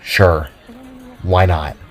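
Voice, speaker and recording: A man's voice: deep voice, steady tone, extremely slow, soft background music, a faint crowd, pitched below the performer's own voice, heavily acted. A man voicing a sullen, weary twenty-year-old younger sister with a sulky, hesitant manner in a narrated story.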